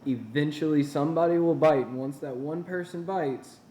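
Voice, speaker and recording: A man's voice: on the high side, normal speed, dark tone, roomy sound. A man in his twenties, a small-business owner, giving earnest, encouraging answers in a casual interview. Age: 20s. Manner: earnest and encouraging, with repeated phrases.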